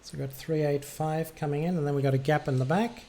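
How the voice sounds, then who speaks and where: A man's voice: a little high, steady tone, fast, dark tone. A man streaming as an air traffic controller, giving clipped procedural commands mixed with casual muttered asides.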